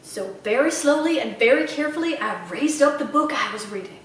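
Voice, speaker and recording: A woman's voice: high voice, animated, a little fast, a little hiss or hum. A woman voicing a proud old small-town Southern lady librarian who tells tales with dramatic, repeated emphasis for a children's storybook. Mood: suspenseful, excited. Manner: emphatic, repeats phrases for effect. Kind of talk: children's audiobook character voice. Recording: very echoey room, slightly distant mic.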